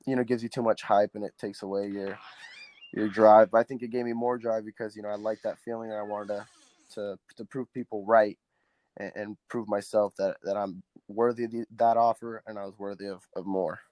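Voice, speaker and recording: A teenage quarterback from Southern California, a native Spanish speaker, answering interview questions earnestly with many ums and restarts. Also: male voice, fairly low pitch, flat delivery, brisk, darkish tone, dry recording. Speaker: high school quarterback guest.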